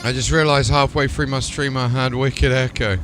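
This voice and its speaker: A man talks in an informal, chatty way, as if to his livestream viewers while gaming. His voice sits at an ordinary pitch, with clear music playing behind it. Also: fairly even intonation, moderate pace, fairly bright tone. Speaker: live streamer. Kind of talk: casual gaming livestream chat.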